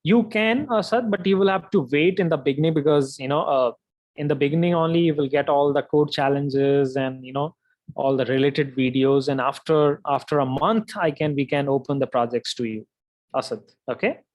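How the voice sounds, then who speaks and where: A man's voice: a little high, normal speed, a little mellow, low-quality recording. A man with an Indian accent hosting a live webinar as a coding instructor, reading out and answering viewer questions in a reassuring way, often tagging on "right?" and "you know".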